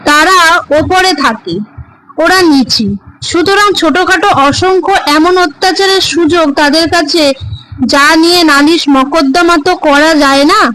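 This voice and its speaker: A woman's voice: very high voice, normal speed, a bit bright, poor audio. A woman narrator reading a scripted horror story for a cartoon.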